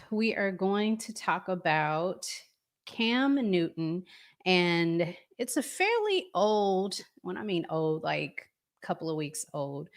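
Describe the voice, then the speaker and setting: A woman hosting a live stream, giving casual, conversational commentary to viewers with deliberate pauses. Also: lowish voice, gravelly.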